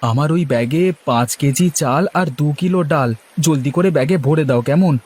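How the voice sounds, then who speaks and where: A man's voice: a little high, brisk, a little mellow, husky. A man voicing a harried, good-natured village husband in a cartoon, chatty and pleading. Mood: hurried. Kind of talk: Bengali cartoon dialogue.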